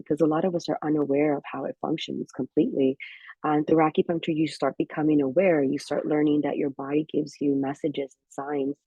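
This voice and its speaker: A woman guest on a podcast explains health practices in an earnest, conversational way, restarting phrases as she goes. Her voice is gravelly and husky with a dark, mellow tone. The recording is dull and lo-fi, and very dry. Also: deep voice, dry recording. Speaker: acupuncturist guest.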